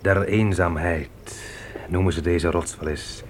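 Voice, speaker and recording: Low-voiced earnest pioneer father. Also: fairly even intonation, a little slow, a little hiss or hum. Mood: reflective. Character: earnest pioneer father teaching his sons on the trek. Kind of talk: radio drama acting.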